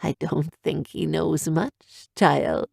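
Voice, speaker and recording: A woman voicing an old, calm and kindly woman mage who speaks in a hushed, gentle way. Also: sits low, slow pace, vocal fry, slightly rough, no room sound, clean studio sound, voice pushed down, heavily acted.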